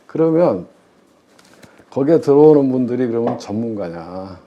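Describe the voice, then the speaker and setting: A man's voice: medium pitch, animated, normal speed. A middle-aged man talking as an expert guest in a conversational interview, emphatic and often answering his own questions, with slightly muffled sound. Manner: emphatic, posing questions and answering them himself. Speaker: guest expert, former pension fund chief investment officer. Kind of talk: conversational interview commentary on public finance.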